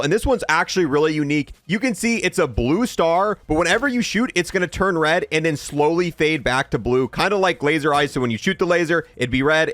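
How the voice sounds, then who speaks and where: Animated, higher-pitched man doing game commentary. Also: dry recording. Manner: joking, animated. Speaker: video game reviewer and host. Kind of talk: casual gameplay commentary.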